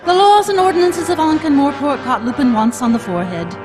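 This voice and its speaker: A woman's voice: sits high, a little fast, a crowd in the background, natural voice. A woman giving dramatic scripted narration in a live radio play. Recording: echoey hall live stage recording. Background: music.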